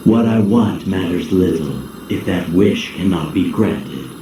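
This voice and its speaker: A brooding, weary warrior from a video game with a very deep voice. He speaks slowly and formally, with grave courtly measure. His words echo under loud music. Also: lively intonation, noisy background. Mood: resigned, solemn. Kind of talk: dramatic video game voice acting.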